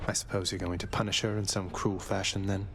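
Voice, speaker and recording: An actor playing a rebellious young prince in a video game, a defiant son with a sardonic, understated delivery. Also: male voice, sits low, level tone, brisk, a bit bright. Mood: dry defiance.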